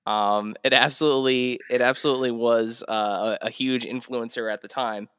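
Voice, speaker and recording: A man hosting a casual podcast, explaining history in a halting, conversational way. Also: mid-range voice, fairly even intonation, fairly bright tone, smooth, dry recording, studio quality, long reverb tail. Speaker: podcast host.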